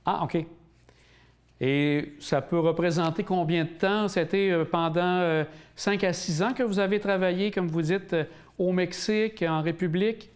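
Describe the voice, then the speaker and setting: High-pitched guy interviewing someone, lots of euh and restarts. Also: steady tone, unhurried. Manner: hesitant questions full of fillers. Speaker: interviewer and host. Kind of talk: community TV interview.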